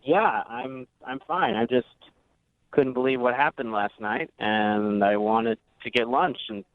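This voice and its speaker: A male caller speaks casually over a narrow, tinny phone line. The sound is close and dry, with the relaxed wording of someone recounting a story to radio hosts. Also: medium pitch, a little slow, no room sound.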